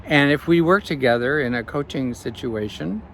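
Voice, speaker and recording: A man, a life coach, speaking slowly and reflectively in an evening vlog recorded outdoors on a phone. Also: medium pitch, expressive, fairly bright tone, slight background noise. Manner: slow, measured, reflective.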